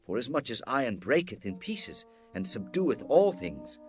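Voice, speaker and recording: A devout prophet in a scripture drama, proclaiming with solemn fervour. His pitch swings widely between reverent, weighty pauses. Music plays clearly behind him. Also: a bit bright.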